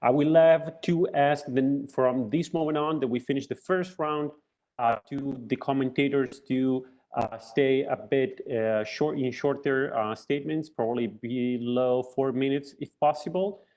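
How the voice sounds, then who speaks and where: A man of middle pitch moderates a panel, courteous and brisk as he thanks and hands over to speakers. His audio is dull and lo-fi, like a video call. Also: a little mellow.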